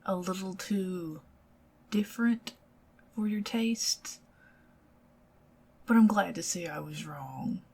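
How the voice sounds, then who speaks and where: A middle-aged woman with a Southern US accent, speaking softly and partly in whispers in an ASMR date roleplay. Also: lowish voice, slow pace, vocal fry, long pauses, husky. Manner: intimate, soft-spoken, giggly, partly whispered.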